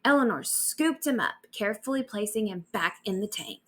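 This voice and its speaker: A woman narrates a bedtime story with a very animated, swooping delivery. She reads expressively, as a storyteller sharing a tale aloud. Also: mid-range voice, normal speed.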